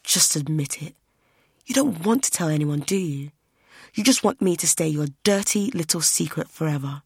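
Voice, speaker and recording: Hurt young guy, quiet n blunt, lowish husky tone , read by a woman. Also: lively intonation, moderate pace, dry recording, clean studio sound, exaggerated voice. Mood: bitter. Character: hurt young man pleading with his secret lover. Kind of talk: audiobook narration.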